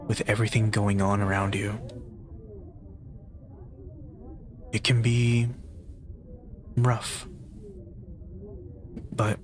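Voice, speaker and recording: A man playing a gentle, affectionate partner in a soft-spoken ASMR roleplay, tender and intimate, pausing often. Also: fairly low pitch, slight background noise, natural voice. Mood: tender, reflective. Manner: tender, unhurried, intimate, with pauses. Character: gentle, affectionate, teasing male partner offering comfort.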